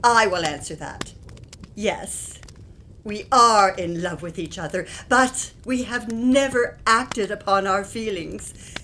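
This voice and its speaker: A woman acting a dignified, reproachful married lady with a measured, formal manner in an audio play. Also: mid-range voice, pushed and bright, a little hiss or hum, low-quality recording. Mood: dignified, wounded.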